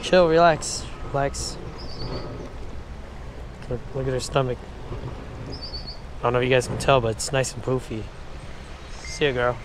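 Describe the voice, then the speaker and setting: A man's voice: medium pitch, a little slow, pauses often. A man chatting casually to camera as he fishes by a river, slangy and full of exclamations, recorded on an action camera. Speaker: fishing vlogger. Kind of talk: casual excited chat to camera while fishing. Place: outdoors beside a shallow river. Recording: outdoor action camera with background noise.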